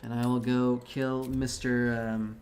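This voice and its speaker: A man's voice: mid-range voice, flat delivery, a little slow, darkish tone. A man from Belarus whose first language is Russian, chatting casually and with plenty of swearing while streaming a game. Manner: rambling and profane, with many restarts. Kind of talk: casual live-stream chat while gaming.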